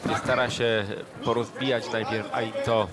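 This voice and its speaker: A man's voice: mid-range voice, level tone, a little slow. A man giving expert co-commentary on a boxing fight, breaking down the fighters' technique and mistakes. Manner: analytical, critical of technique. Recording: commentary over a loud crowd.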